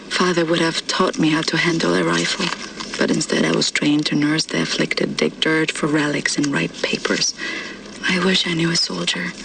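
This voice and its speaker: An actress playing a grieving, vengeful young woman, reading her war diary slowly in a hushed, sombre game narration. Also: deep voice, gravelly. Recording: distant, noisy game audio.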